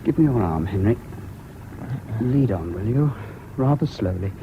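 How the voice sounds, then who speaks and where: A man's voice: fairly low pitch, animated, a little slow. A jaunty young British serviceman, reckless and breezy, with clipped lines that swing up and down. The old radio play recording is muffled and noisy. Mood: stoic. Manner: breezy, clipped, understated officer-class speech. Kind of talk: 1970s BBC radio drama acting.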